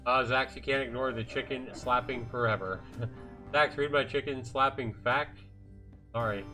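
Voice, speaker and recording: A man's voice: medium pitch, steady tone, unhurried, sharp tone. A man livestreaming a visual novel, reading the narration aloud casually and sometimes stumbling and correcting himself. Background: game music with guitar.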